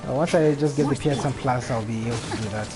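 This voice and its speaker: A man's voice: mid-range voice, fast talker, poor audio. A man livestreaming a video game, chatting casually with viewers in an offhand, half-muttering way. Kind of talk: casual chat with viewers while playing. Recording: stream voice with loud game music. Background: video game music.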